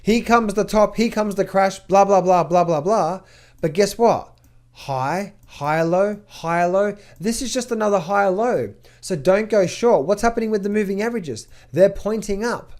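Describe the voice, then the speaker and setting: Male trading coach, slightly high voice, emphatic chart commentary full of rhetorical questions and repeated words. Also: a little mellow. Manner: emphatic, fires rhetorical questions and answers them; repeats words in quick bursts. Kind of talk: market update over charts.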